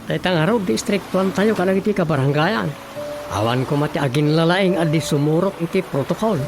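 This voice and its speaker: A man's voice: a little high, brisk, creaky. A man with an Ilocano accent acting in a Filipino radio drama as a village man. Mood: concerned. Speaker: radio drama narrator and actor. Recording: music bed behind the voice.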